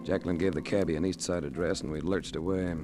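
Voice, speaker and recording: A man's voice: deep voice, steady tone, fast talker, lightly acted. An actor playing a tough, wry private investigator narrating his case in a 1950s radio detective drama. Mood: tense, gritty. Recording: noisy old 1950s radio recording.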